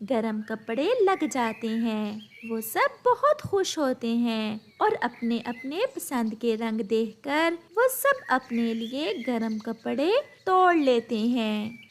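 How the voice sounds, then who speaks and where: A woman narrating a children's cartoon story in a slow, expressive way. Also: on the high side, a little hiss or hum.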